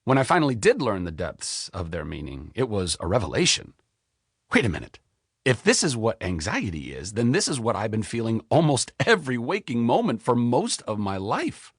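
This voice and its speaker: Expressive man reading his own memoir. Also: normal speed, breathy edge, dry recording, clean studio sound. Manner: expressive, candid storytelling with wide pitch swings. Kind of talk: audiobook memoir narration.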